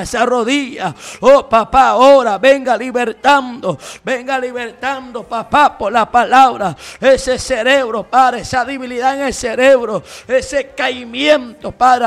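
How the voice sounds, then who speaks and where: A man's voice: very high-pitched, vocal fry, fairly bright tone. A man praying as a charismatic preacher, crying out in fervent, repetitive pleas. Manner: pleading, repetitive, crying out to God. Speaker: preacher leading intercessory prayer.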